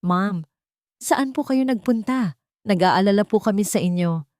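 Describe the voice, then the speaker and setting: A woman's voice: medium pitch, lively intonation, a little slow, darkish tone, no echo, clean studio sound, close to an everyday voice. A woman voicing a nervous young female secretary who asks polite, anxious questions.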